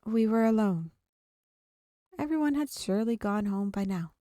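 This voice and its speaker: Mellow-voiced woman reading a story aloud. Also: medium pitch, animated, moderate pace, no room sound, studio quality. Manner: occasionally stumbles over words and rereads. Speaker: streamer reading a visual novel aloud. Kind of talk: read-aloud story narration.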